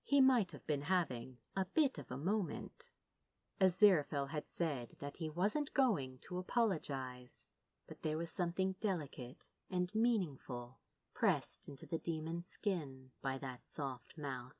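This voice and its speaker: Casting: female audiobook narrator, lowish voice, expressive and theatrical, unhurried pacing for dramatic fiction. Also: no echo. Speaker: podfic narrator.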